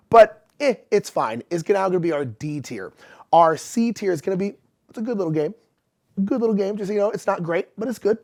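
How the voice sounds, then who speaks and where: A man in his thirties hosting a board game channel, talking casually to camera in an indoor room, his voice a little rough as he gets over being sick. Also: fairly high pitch. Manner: chatty and animated, gesturing with hands. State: recovering from illness, voice a bit rough. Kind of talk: casual explanatory talk to camera.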